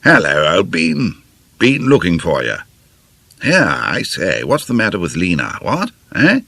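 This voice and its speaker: A man voicing a loud, silly upper-class Englishman who keeps tacking "what?" onto his sentences. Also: low voice, wildly swooping pitch, unhurried, vocal fry, slightly rough, no room sound, heavily acted. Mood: blustering. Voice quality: braying, ends lines with "what?".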